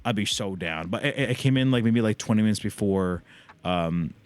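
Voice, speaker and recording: A man's voice: lowish voice, normal speed, smooth, dry recording. A man chatting casually as a livestream host and gamer, rambling in loose slang with frequent swearing.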